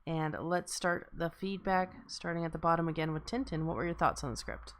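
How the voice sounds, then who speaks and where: A woman hosts a live online table read in a casual, chatty style. Her pitch runs a little low for a woman as she moderates the feedback. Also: steady tone, a little fast, a little hiss or hum. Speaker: host of a script table read. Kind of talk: casual live-stream hosting.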